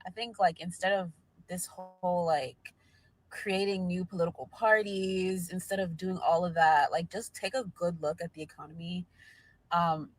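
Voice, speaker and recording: A woman's voice: fairly low pitch, no echo, low-quality recording. A young woman from Nigeria talking casually to camera, loose and chatty and leaning on the word like. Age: young adult. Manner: loose and chatty, heavy use of "like".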